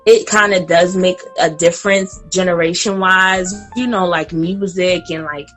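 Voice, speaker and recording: Woman late 20s, slightly low voice, loose chatty podcast guest, faint guitar music behind. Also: moderate pace. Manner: animated, laughing, talks straight to listeners. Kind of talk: casual podcast chat.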